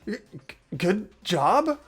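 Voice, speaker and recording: A woman's voice: mid-range voice, sing-song, slow, slightly rough, soft background music, exaggerated voice. A woman playing an earnest, flustered teenage student in a game, stammering and pleading urgently. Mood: desperate. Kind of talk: hobby game voice acting.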